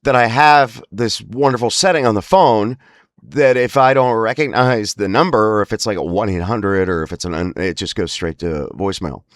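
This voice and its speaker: Deep-voiced man with spasmodic, strained speech. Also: normal speed, no echo, clean studio sound. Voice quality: spasmodic, strained breaks from spasmodic dysphonia. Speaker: host of a lifestyle series about a voice disorder. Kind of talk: casual anecdotal monologue.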